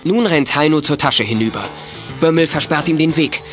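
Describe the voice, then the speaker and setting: A man's voice: on the high side, moderate pace, music in the background, natural voice. A man narrating a children's detective radio play with scripted, dramatic storytelling.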